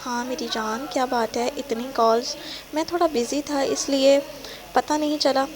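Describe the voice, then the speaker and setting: Brief: cold, shouting boyfriend in an audio novel, a woman reading him, loud and harsh. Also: high voice, fairly even intonation, natural voice, rough recording. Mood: dismissive. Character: angry callous young man boyfriend.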